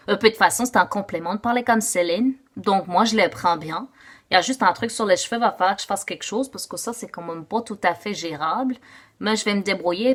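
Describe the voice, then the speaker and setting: A woman's voice: mid-range voice, fairly bright tone, close to an everyday voice. A woman doing a comic monologue as a Frenchwoman who woke up Québécoise, speaking in a nasal, exaggerated Québécois accent and piling on local expressions. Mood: chatty. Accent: exaggerated Québécois French, put on. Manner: cheerful, chatty, lays on Québécois expressions. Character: French woman turned Québécoise overnight, giddy and proud. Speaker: comedian. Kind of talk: improvised comic monologue to camera. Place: at home.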